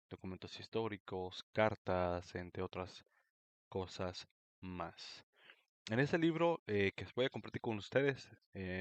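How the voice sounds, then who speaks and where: Low-voiced man, close and dry. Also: smooth, no echo. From United States (Florida), Mexican roots. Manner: unhurried, many fillers like "eh" and "este". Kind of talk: casual talk about a history book.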